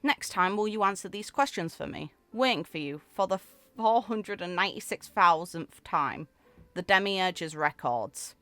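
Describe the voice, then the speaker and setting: A woman's voice: medium pitch, animated, moderate pace, fairly bright tone, dry recording. A woman gaming creator reading story text aloud expressively, with casual commentary. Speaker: gaming video creator reading game character lore aloud. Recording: music playing under the voice. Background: background music.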